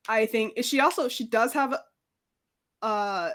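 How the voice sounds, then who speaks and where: A woman's voice: on the high side, slow, fairly bright tone. A woman chatting in a casual, slangy way as a player in a tabletop roleplaying game.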